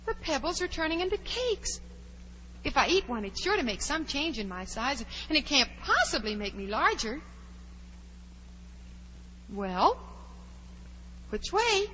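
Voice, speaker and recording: A woman's voice: sits high, sing-song, unhurried, long pauses, sharp tone, full character voice. A woman playing a curious, chatty, plucky young girl who wonders aloud in a 1940s radio drama. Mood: puzzled, polite. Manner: wondering aloud, exclaiming, polite. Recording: dull, hissy 1940s radio recording.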